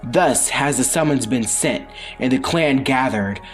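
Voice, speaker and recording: A man's voice: mid-range voice, unhurried, soft background music. A man reading a comic book aloud as a dramatic storybook narration. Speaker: reader of a comic read-along.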